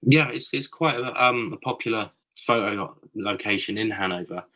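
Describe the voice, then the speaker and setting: A man guesting on a podcast, chatty and a bit croaky, explaining his work with plenty of fillers over an internet call. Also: lowish voice, moderate pace, pushed and bright. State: slightly croaky. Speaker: guest photographer. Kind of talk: casual conversational explanation. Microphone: voip call.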